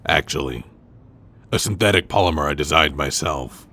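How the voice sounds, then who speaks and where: An actor playing a stern, protective masked vigilante hero who speaks tersely. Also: male voice, very deep voice, normal speed, pushed and bright, exaggerated voice. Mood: cool confidence.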